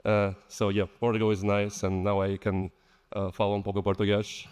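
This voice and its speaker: A man with a Belarusian accent speaks on a stage mic, with long reverb from a big hall. His voice is fairly low. He talks informally and chattily, joking with the people he is addressing. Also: completely flat delivery, fairly quick, darkish tone, smooth. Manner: chatty, joking, engages the audience. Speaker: conference lightning talk speaker. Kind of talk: informal conference talk. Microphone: stage microphone.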